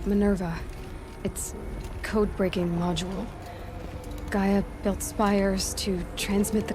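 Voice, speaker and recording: A woman's voice: medium pitch, close to an everyday voice. An actress playing a determined, sceptical young female hunter in a video game, questioning in a guarded, pointed way. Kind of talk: dramatic video game acting. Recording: noticeable background noise and ambience.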